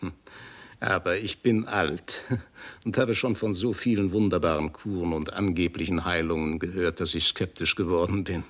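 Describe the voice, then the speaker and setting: An actor playing a friendly, skeptical old doctor who explains things in a scholarly, deliberate way in a 1960s German radio drama. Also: male voice, low voice, steady tone, a bit bright. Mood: thoughtful skepticism. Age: older. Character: friendly old doctor, skeptical, learned leper-clinic director.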